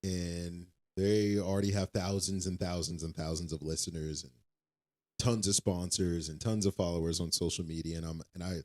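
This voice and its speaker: Deep-voiced earnest young man. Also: little pitch movement, moderate pace. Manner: earnest, reassuring, repeats himself. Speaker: podcast co-host. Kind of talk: casual faith-based podcast chat.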